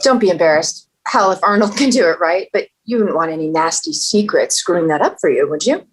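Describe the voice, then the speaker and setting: An actress playing a no-nonsense police detective with a wry, matter-of-fact manner in a scripted table read. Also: female voice, on the low side, a little fast, husky, rough recording. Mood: wry, coaxing. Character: no-nonsense, dryly coaxing female police detective.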